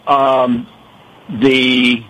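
A man from Rochester, New York, calling in to a radio show; he has a gravelly voice and talks haltingly with many restarts. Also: mid-range voice, level tone, a bit bright, low-quality recording. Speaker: caller. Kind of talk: radio call-in.

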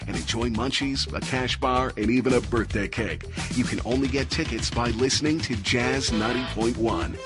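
A man reading a scripted radio station promo in a smooth, polished way. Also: mid-range voice, moderate pace, hardly any pauses. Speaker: station announcer. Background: music bed.

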